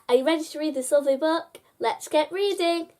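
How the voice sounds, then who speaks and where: High-voiced woman reading bedtime stories. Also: brisk, a little mellow. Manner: addresses child listeners with prompting questions. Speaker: host reading children's picture books aloud. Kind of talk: bedtime storytelling to young children.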